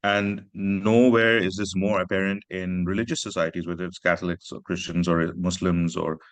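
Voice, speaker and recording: A man's voice: sits low, flat delivery, no room sound. A man from Pakistan, an author speaking earnestly in a reflective conversation. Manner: earnest, measured, personal storytelling.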